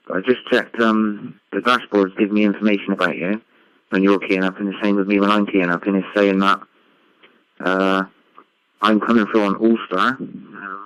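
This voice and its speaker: A man's voice: lowish voice, a bit bright, no echo. A man chatting as an amateur radio operator over a digital radio link, explaining technical details in a matter-of-fact way. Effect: digital ham radio transmission. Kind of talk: casual radio contact chat, technical. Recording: dull, band-limited amateur radio audio.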